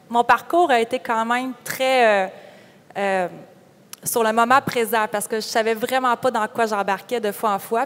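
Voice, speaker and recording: A young woman with a Quebec French accent speaks in a fairly high voice. She tells her own story in a candid, informal way as a panelist. The sound carries the long reverb of a hall. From Quebec, Canada. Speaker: panelist, farm entrepreneur. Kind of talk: conference panel personal account. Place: conference room panel.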